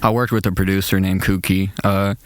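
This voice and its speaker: A young man with a nasal, deep voice talks in a loose, slangy way on a podcast. His pitch stays fairly flat, and his tone is smooth and clear. The sound is dry and close. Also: brisk, no echo. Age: young adult. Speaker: rapper and producer guest. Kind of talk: casual interview chat.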